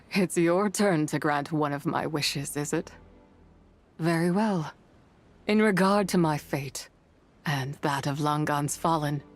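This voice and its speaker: A woman playing a solemn, once-wrathful immortal spirit in a game, speaking formally and with weary measure. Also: deep voice. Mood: grave. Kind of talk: scripted game voice acting.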